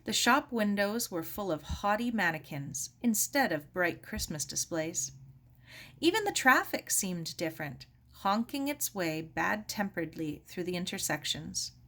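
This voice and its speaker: A woman reading a children's story aloud as expressive scripted narration. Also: lowish voice, a little slow. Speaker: storybook reader.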